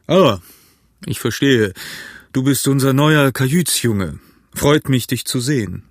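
A man voicing a big, shrewd, jovial tavern keeper in an audiobook, hearty, loud and commanding. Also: mid-range voice, a little slow, dry recording, clean studio sound, lightly acted. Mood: hearty, welcoming. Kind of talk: acted audiobook character.

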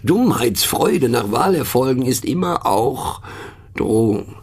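A man's voice: mid-range voice, animated, takes it slowly, breathy edge, heavily acted. A man playing a pensive, mocking narrator in a satirical radio play monologue, speaking ironically with conversational, questioning asides. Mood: scornful. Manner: ironic, rhetorical, punctuated with little questioning tags. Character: wry, pensive, mocking male narrator musing on words.

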